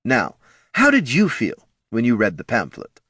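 A man's voice: mid-range voice, wildly swooping pitch, moderate pace, sharp tone, slightly rough, no room sound, studio quality, voice pushed up, exaggerated voice. A man voicing an eager undead skull, a chirpy marketing executive in a fantasy audiobook, with upbeat, earnest corporate patter. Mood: brisk, persuasive.

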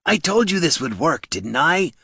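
A man's voice: a little high, very animated, moderate pace, creaky, bright, forceful tone, slightly rough, dry recording, voice pushed up, full character voice. A man voicing a lonely troll who longs for friends, speaking wistfully in an audiobook. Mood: eager to please.

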